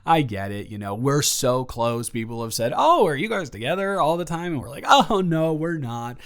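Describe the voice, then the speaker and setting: A man's voice: mid-range voice, very animated, moderate pace, a bit bright, clean tone. A man playing a flustered, self-deprecating young man who rambles and stammers in an improvised audio roleplay monologue. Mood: stunned, stammering. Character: flustered, rambling young man nervously confessing to his roommate.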